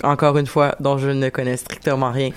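A woman's voice: extremely low pitch, little pitch movement, fairly quick, clean tone. A woman with a Quebec French accent hosting a casual radio show, joking and rambling with lots of restarts. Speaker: host.

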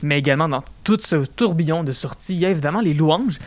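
A young man with a Quebec French accent hosting a radio show, chatting casually with jokes and quick asides. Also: fairly high pitch, no room sound. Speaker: radio host. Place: radio studio. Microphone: studio microphone.

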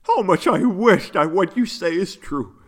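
A man voicing a gentle young male unicorn in a children's story, earnest and childlike. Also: high-pitched, animated, a little slow. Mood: sad, wistful.

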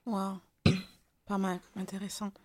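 A woman hosting an interview, asking questions in a lively, exclaiming way. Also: medium pitch, unhurried. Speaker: host. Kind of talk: casual interview.

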